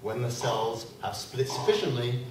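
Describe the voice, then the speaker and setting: A man's voice: mid-range voice, moderate pace, lightly acted. A man playing an eccentric, patronising doctor in a comic radio play. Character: eccentric male doctor, smug, patronising, sourly misogynistic. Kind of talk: comic radio play acting before an audience. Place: convention room, live stage performance. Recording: echoey distant live room recording, background noise.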